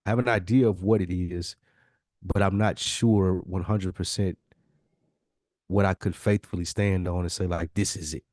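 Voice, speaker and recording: Blunt American man with a low voice. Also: steady tone, moderate pace, a little mellow, no room sound, clean studio sound. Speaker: podcast co-host. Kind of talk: casual discussion.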